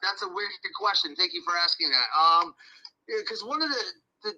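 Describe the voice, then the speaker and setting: A man hosting a casual podcast interview in a rambling, halting, blunt and salty-tongued way, often repeating words as he goes. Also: high-pitched, lively intonation, sharp tone, poor audio. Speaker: podcast host.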